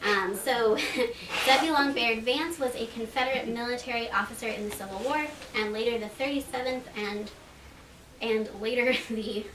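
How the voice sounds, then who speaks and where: A woman with a slightly high voice introduces material at a live event in an informal, halting way. She is heard at a distance from the microphone in an echoey room. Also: fairly bright tone. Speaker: presenter introducing readers at a library event. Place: library reading room at a public event. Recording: echoey room, distant mic, clear background noise.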